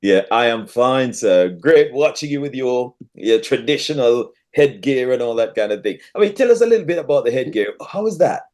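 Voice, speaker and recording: A Jamaican man hosts an interview in a conversational style. His mid-pitched voice swings widely up and down as he talks, with a Jamaican accent. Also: lively intonation, moderate pace, hardly any pauses, a little mellow. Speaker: interview show host.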